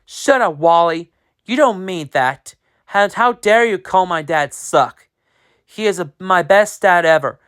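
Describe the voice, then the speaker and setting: Brief: reader playing eager schoolboy hero, raised pitch, slow earnest delivery. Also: very animated, fairly bright tone, clear, resonant tone. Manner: earnest read-aloud delivery. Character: excited young boy, proud and loyal.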